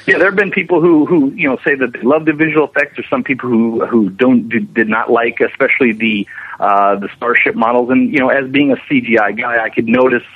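Phone-band sound, man, mid-pitched voice, interviewee, conversational, explanatory, restarts sentences. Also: steady tone, fairly quick, slightly rough. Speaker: interviewed special effects animator. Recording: narrow, tinny phone-like line.

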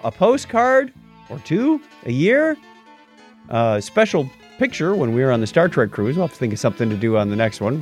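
A middle-aged man co-hosting a long-running tech podcast in a casual, jokey chat. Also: fairly high pitch. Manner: animated, jokey, reads out patron names. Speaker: podcast co-host.

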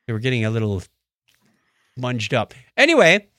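An American man co-hosting a podcast in casual, jokey chat with an animated delivery. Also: medium pitch, moderate pace, no echo, studio quality. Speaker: podcast co-host.

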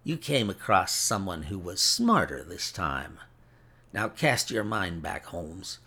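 A male reader acts out a cruel gentleman villain from a classic detective story. His voice is rough and creaky, with big theatrical swings of pitch. He gloats with mock courtesy and savors each taunt smugly. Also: sing-song, slow pace, full character voice. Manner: taunting, mock-polite, smug.

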